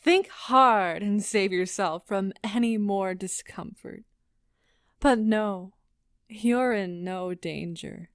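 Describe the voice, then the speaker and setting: An actress playing an anguished, remorseful but defiant wife in an audio drama, speaking in an impassioned, deliberate, theatrical way. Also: female voice, mid-range voice, clean tone, no room sound, clean studio sound, close to an everyday voice. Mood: bitter, wounded. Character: anguished wife confessing to her husband, remorseful, wounded, bitterly defiant.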